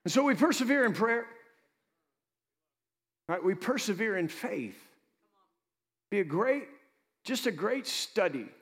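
A man preaching as pastor, moving between loud exhortation and quiet asides, joking with his congregation. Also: high-pitched, big pitch swings, measured pace, pauses often. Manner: jokes with the congregation. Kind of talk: preaching a sermon.

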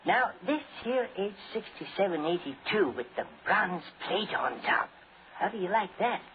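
Eccentric old male salesman, folksy and persistent, high animated voice, tinny radio sound. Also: normal speed. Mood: eager, persistent. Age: older. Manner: folksy, rambling, persistent, eager to please. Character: eccentric, eager, polite old coffin salesman. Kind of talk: 1940s radio acting. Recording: scratchy, tinny 1940s radio recording.

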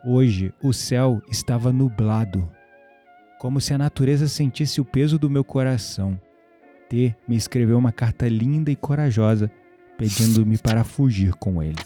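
Very mellow, intrigued young Brazilian man, acted. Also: mid-range voice, normal speed, soft background music, lightly acted. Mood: solemn reading. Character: intrigued, gently teasing male companion. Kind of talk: audio drama acting.

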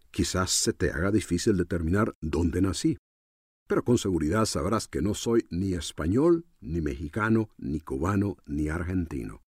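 A man preaching a radio sermon in a deliberate, expository manner. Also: sits low, a little mellow, husky, no room sound, clean studio sound. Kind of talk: radio sermon in Spanish, measured teaching.

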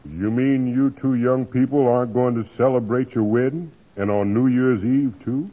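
A man's voice: mid-range voice, moderate pace, close to an everyday voice. A man playing a genial, coaxing reverend in a 1940s radio comedy. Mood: concerned. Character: genial, persuasive adult male reverend. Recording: muffled old radio broadcast.

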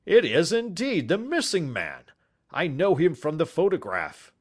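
A man voicing a blunt, jovial veteran police inspector with a loud, joking manner. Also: fairly high pitch, huge pitch swings, measured pace, breathy edge, pitched above the performer's own voice, full character voice. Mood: astonished.

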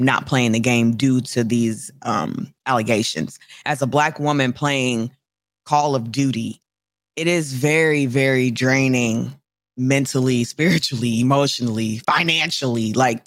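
A woman's voice: extremely low pitch, no room sound, studio quality. A woman gamer speaking as a guest in a casual discussion, impassioned and emphatic, repeating words for force.

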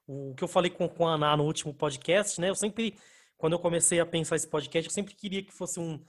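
A man's voice: fairly high pitch, a little fast. A man with a Brazilian accent hosting a podcast in a laid-back, slangy way, laughing easily. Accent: Brazilian Portuguese. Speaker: podcast host. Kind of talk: casual podcast chat.

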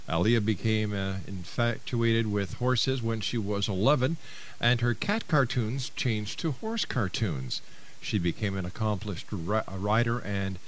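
A man's voice: fairly low pitch, slight background noise. Older man hosting talk radio. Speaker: radio show host.

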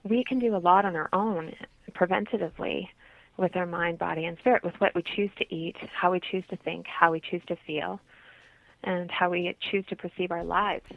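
A woman's voice: lowish voice, fairly even intonation, moderate pace, gravelly, no echo. A woman explaining things as a guest expert in a radio interview, on slightly dull audio.

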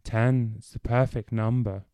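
A man voicing a dreamy, stoned, laid-back young man who drawls slowly. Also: medium pitch, fairly even intonation, dark tone, clean tone, no echo, natural voice. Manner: slow, drawling, hazy.

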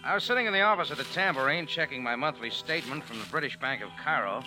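An actor playing a sardonic American cafe owner, narrating in slangy, dry style for a vintage radio drama. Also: male voice, medium pitch, lively intonation, fast, music in the background, natural voice, rough recording. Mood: wry, unruffled. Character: wry, hard-boiled American male cafe owner. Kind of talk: 1940s radio acting, first-person narration.

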